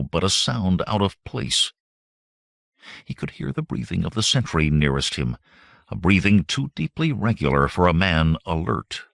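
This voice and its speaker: A man's voice: deep voice, gravelly, fairly bright tone, no echo, clean studio sound. A man reading fantasy adventure fiction as a dramatic audiobook narrator.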